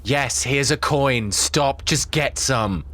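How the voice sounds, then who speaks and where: A man's voice: medium pitch, takes it slowly, fairly bright tone. A man playing a tabletop role-playing game, improvising in casual conversation. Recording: clear background noise, room ambience.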